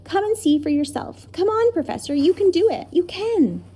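A woman voicing an eager, excitable young boy with an urgent, cheering manner. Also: extremely high pitch, moderate pace, a little hiss or hum, pitched above the performer's own voice, exaggerated voice. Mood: excited.

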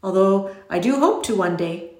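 A woman voicing a whimsical, courteous little gentleman in a storybook, with genteel, exclamatory, formal phrasing. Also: medium pitch, expressive, normal speed, reverberant, natural voice. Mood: whimsical, genial. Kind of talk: audiobook character voice.

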